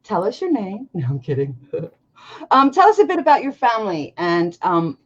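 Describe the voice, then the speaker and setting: Woman, forties, nasal, congested, lively up-and-down voice, dull lo-fi audio. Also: mid-range voice, moderate pace. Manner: chatty, laughs often, quotes others with lively voices. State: congested from allergies. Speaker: interviewer. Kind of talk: casual livestream interview.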